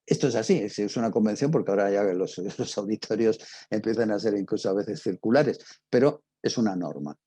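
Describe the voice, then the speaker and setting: Male teacher, Castilian Spanish accent, dark and husky tone, very dry close sound, halting explanatory delivery that checks in with listeners. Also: fairly low pitch, animated, no echo. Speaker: teacher of radio drama. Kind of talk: informal spoken lecture.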